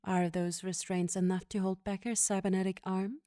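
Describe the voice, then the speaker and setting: Woman doing a cold crime boss lady, audio drama, mellow voice, dry sound, bossy and dismissive. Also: mid-range voice, level tone, normal speed, dry recording, lightly acted. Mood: cool, dismissive. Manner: imperious, dismissive, casually cruel.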